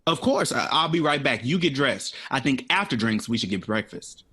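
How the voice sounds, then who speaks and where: An actor playing an excitable, ambitious male artist in an audio drama, eager and intellectual. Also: mid-range voice, normal speed, slightly rough. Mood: upbeat.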